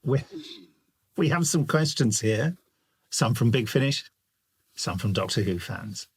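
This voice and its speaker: Husky British male interviewer. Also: mid-range voice, animated, a little slow, no echo. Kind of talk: conversational interview, reading out fan questions.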